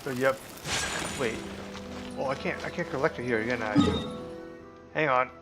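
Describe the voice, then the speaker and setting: A man gives casual game commentary on a livestream. He rambles and halts as he thinks aloud, and loud game music runs behind him. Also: medium pitch, moderate pace.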